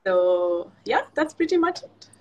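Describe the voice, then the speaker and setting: A woman's voice: medium pitch, big pitch swings, a little slow, highs cut off. Chatty woman. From Pakistan. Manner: chatty, laughs often, trailing restarts. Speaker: interview guest, fashion designer. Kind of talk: casual video call interview.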